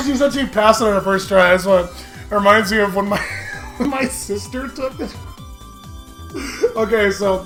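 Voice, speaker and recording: A young man hosting a gaming show, chatting casually and telling animated, jokey stories with frequent laughter. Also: sits high, measured pace, long pauses, soft background music. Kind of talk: casual let's-play commentary with dramatic read-alouds.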